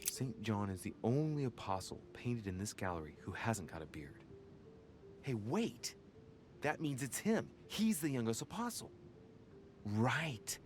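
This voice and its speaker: An actor playing an inquisitive young man investigating a mystery in a video game, musing aloud in a deliberate, theatrical way. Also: medium pitch, big pitch swings, a little hiss or hum. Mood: intrigued. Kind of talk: dramatic game narration, thinking aloud.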